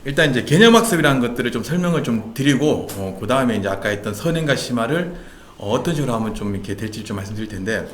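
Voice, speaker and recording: A man's voice: mid-range voice, expressive, racing, echoey room, slight background noise. A man from Seoul, a guest expert, patiently explaining how children should study math in a casual talk show. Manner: patient, explanatory, slightly nervous. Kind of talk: explaining study methods in a chat.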